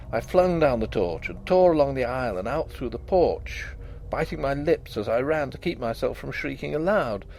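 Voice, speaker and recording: A man's voice: on the high side, darkish tone, poor audio. A man reading a gothic ghost story aloud with dramatic, suspenseful delivery.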